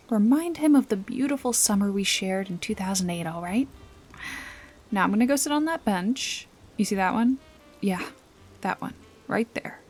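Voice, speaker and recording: A woman playing a wry, bossy detective-bodyguard in an intimate noir ASMR roleplay, with a teasing, casually threatening manner and a breathy voice that often drops toward a whisper. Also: mid-range voice, a little mellow, close to an everyday voice. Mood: teasing, commanding.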